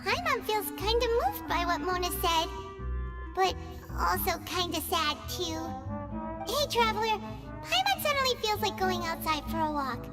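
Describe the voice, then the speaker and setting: A woman voicing a tiny, perky, childlike fairy companion in a video game, with a squeaky voice and a cutesy way of talking. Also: very animated, moderate pace, loud music behind the voice. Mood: subdued. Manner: refers to herself in third person, cutesy.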